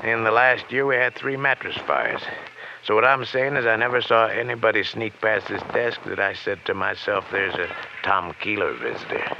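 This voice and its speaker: Gravelly, droll hotel desk clerk. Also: male voice, on the low side, moderate pace. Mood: stubborn deadpan. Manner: folksy, deadpan, stubborn. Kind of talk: 1950s radio crime drama acting. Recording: muffled, noisy old radio recording.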